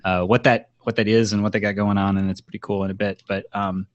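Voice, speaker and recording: A man's voice: on the low side, level tone, fast talker, no echo, dull audio. A man hosting a podcast in a casual, informal and rambling chat style. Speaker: podcast host.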